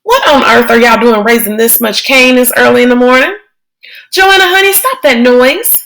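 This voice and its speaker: Fussy elderly granny, high and scolding, drawled delivery, read by a woman. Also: normal speed, a bit bright, poor audio. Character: stern elderly Southern grandmother, fussy.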